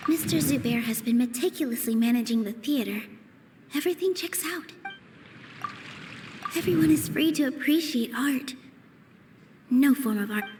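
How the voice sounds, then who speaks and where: An actress voicing an earnest young female dancer who reasons calmly and thoughtfully. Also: sits high, in a large hall, noisy background.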